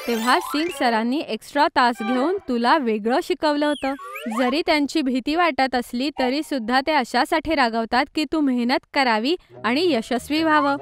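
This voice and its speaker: A woman's voice: high-pitched, moderate pace, close to an everyday voice. A woman voicing an earnest, kind young schoolgirl who likes to organise, in a children's cartoon story. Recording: music behind the voice.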